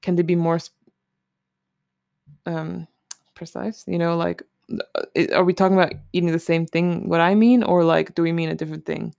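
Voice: medium pitch, fairly even intonation, moderate pace, vocal fry, long pauses, a little mellow, smooth, no room sound, clean studio sound. A host chatting casually and candidly alone, rambling informally with some swearing. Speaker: solo podcast host. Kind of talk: casual rambling chat, commenting on a list.

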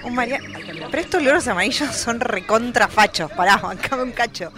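A woman's voice: a little high, expressive, fairly quick, a crowd in the background, lightly acted. A woman with a Rioplatense Argentine accent playing a curious, chatty explorer in a comic radio play, urgent and repeating herself. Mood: alarmed.

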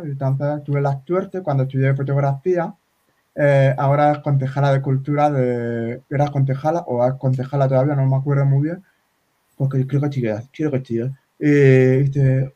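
A man in his forties whose first language is sign language, talking in a casual interview with many halting restarts. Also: a little high, mellow. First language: Spanish Sign Language. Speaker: guest. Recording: slightly dull lo-fi sound.

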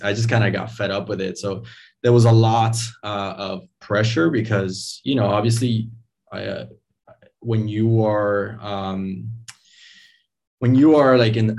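Low flat-voiced man rambling casually. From Venezuela, raised in Miami. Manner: rambling with many fillers and restarts. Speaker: interview guest, digital nomad. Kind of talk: casual interview talk.

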